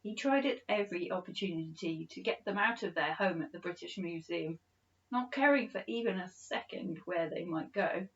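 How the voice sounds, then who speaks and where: A woman reading a children's story aloud as an expressive bedtime storyteller. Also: lowish voice, normal speed, muffled recording.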